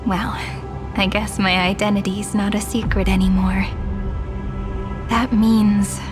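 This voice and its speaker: Game role: actress as reflective young heroine, flat intonation, medium pitch, music behind. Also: female voice, takes it slowly, close to an everyday voice. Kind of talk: English game voice acting, dialogue.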